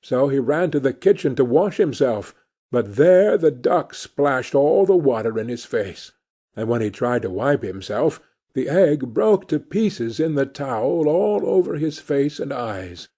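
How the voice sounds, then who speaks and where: A man's voice: mid-range voice, big pitch swings, a little slow, mellow, slightly rough. A man reading a fairy tale aloud as scripted storybook narration. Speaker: audiobook reader.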